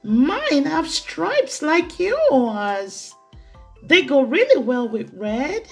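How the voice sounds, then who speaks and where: A woman voicing an eager, kind-hearted little boy in a storybook, with an excited, exclaiming manner. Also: sits high, drawn-out words, vocal fry, soft background music, pitched above the performer's own voice, full character voice. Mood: eager, helpful.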